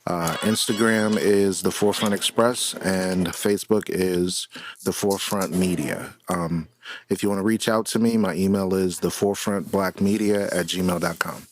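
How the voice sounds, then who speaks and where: A man with a fairly low voice speaks as a radio host giving a casual plug. His delivery is informal. Faint background noise and clicks sit in the recording. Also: steady tone, normal speed.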